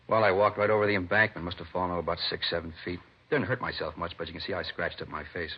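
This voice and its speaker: A man's voice: deep voice, fairly even intonation, very fast, breathy edge. An actor playing a talkative, defensive American man under police questioning, conversational in a 1950s radio drama style. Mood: explanatory. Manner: conversational, indignant when pressed. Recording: dull vintage radio recording.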